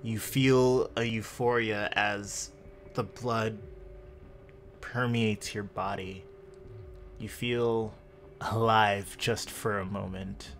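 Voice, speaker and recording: A man narrating a tabletop roleplaying game as game master, improvising dramatically with deliberate pauses. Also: lowish voice, level tone, a bit bright, slight background noise.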